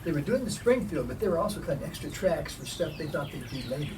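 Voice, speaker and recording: Older Oklahoma man, halting and easygoing. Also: fairly high pitch, very animated. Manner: halting, easygoing, laughs often. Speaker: interview guest, former band drummer. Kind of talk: casual porch conversation. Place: outdoor porch. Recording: distant mic, birds and outdoor noise.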